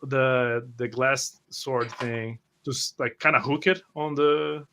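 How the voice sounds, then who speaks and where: A man's voice: mid-range voice, level tone, slow, pushed and bright. A man chatting casually as a player in a tabletop game group. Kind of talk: casual chat.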